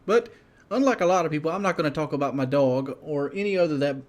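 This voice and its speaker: A man giving casual, rambling game commentary as a YouTuber, blunt and monotone, with frequent restarts. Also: a little high, fast talker. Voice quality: self-described monotone.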